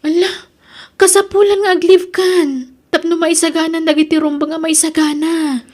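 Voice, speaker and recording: Young pregnant fiancée played by a woman, high voice, plaintive and anxious, natural delivery for radio-style family drama. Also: little pitch movement, moderate pace, darkish tone.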